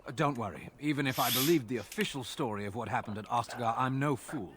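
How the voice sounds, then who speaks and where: An actor playing an exasperated, sardonic city guard officer in a video game, given to wordy grumbling. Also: male voice, medium pitch, fast talker, noisy background, natural voice. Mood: wry, weary. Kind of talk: video game character dialogue.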